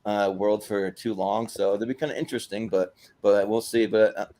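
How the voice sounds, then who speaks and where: A man's voice: on the low side, flat delivery, normal speed, dark tone. A man hosting a casual movie chat, rambling along and repeating words as he goes. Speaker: host of a panel show.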